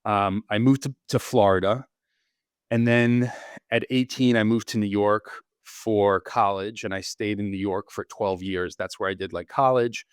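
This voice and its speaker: Low-voiced man, rambling with restarts. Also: fairly even intonation, a little slow, clean tone, dry recording, clean studio sound. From Israel. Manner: rambling, frequent restarts and repeated words. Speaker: podcast guest, surgeon. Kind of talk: casual interview conversation.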